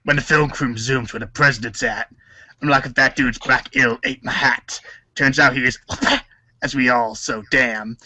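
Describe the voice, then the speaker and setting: A man's voice: medium pitch, fairly even intonation, moderate pace, sharp tone, no room sound, rough recording. A man acting a cool, cocky, slangy teenage boy wisecracker in a reader's theater. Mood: cocky, rapping. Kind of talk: read-along storybook reader's theater acting, rapping.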